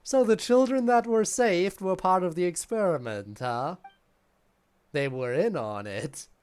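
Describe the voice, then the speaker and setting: A man's voice: high voice, animated, a little slow, darkish tone, clear, resonant tone. A man giving casual, sardonic and sweary commentary as a game streamer.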